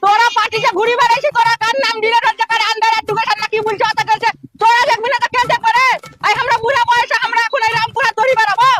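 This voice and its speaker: A man's voice: extremely high pitch, flat delivery, quick pace, hardly any pauses. A man playing an aggrieved old village man in a comic skit, complaining with rhetorical questions in a rural West Bengal accent. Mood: indignant. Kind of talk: comic social skit acting. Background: faint music.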